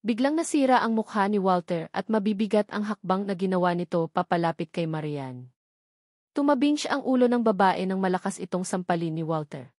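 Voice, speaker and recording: A woman's voice: mid-range voice, animated, a little fast, darkish tone, dry recording. A woman reads a dramatic novel aloud as a scripted narrator. Her voice sits in a middle register, and the recording is dry with almost no room sound. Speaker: audiobook narrator.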